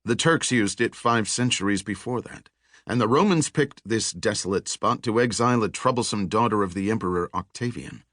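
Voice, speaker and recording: Man acting a brooding male scientist, low voice, learned, wry, lecturing, audiobook character, dry sound. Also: moderate pace, a bit bright, dry recording, close to an everyday voice. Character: restless, brooding, learned male Israeli researcher.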